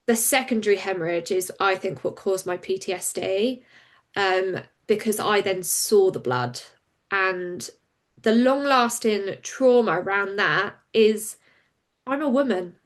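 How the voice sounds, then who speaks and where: A woman, a campaigner and interview guest, speaking frankly and openly in a relaxed conversation. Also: medium pitch. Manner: open and frank, quick and animated when recounting.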